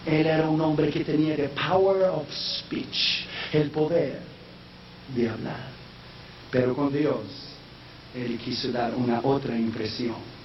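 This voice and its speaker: A man preaching a sermon in a language that is not his first, slipping in English phrases and rising into shouted, sung-out peaks. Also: a little high, steady tone, takes it slowly. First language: not Spanish. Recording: echoey, distant, dull sound with faint noise.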